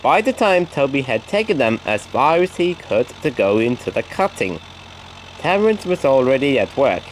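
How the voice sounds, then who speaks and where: A man's voice: medium pitch, very animated, normal speed, a little hiss or hum, rough recording. A man reading a scripted children's story as narrator.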